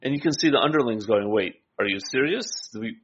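A man's voice: medium pitch, lively intonation, brisk, highs cut off, noisy background. A man co-hosting a casual political discussion, explaining points and voicing imagined quotes as he goes. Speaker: political talk show co-host.

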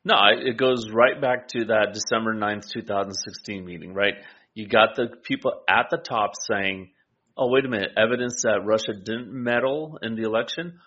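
A man's voice: fairly low pitch, moderate pace, pushed and bright, lo-fi sound. A man co-hosting a casual political discussion, explaining points and voicing imagined quotes as he goes. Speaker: political talk show co-host.

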